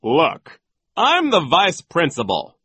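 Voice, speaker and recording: A childish, pompous man in charge of a school, from a children's storybook performed by a woman. His voice is pushed high and forceful, swooping about as he mocks and bosses everyone with gloating relish. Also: wildly swooping pitch, slow, husky, no echo, exaggerated voice. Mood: gloating, bossy. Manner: mocking, bossy, gloating. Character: pompous, childish, mocking male vice principal, gleefully cruel.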